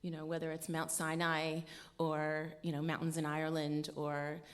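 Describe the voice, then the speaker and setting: A female musician speaking as an interview guest, earnest and expressive in loose conversational phrases, recorded live on stage. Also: low-pitched, moderate pace. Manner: earnest, expressive, conversational with frequent "you know". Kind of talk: informal interview talk. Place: stage of a live radio show. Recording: live hall with long reverb.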